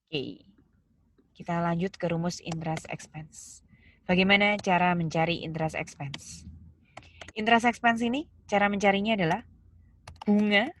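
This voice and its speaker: A woman's voice: fairly low pitch, moderate pace, long pauses, fairly bright tone. A woman giving a step-by-step accounting lesson, didactic, posing questions and stressing key terms. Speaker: accounting lecturer. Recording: clear background noise, keyboard clicks.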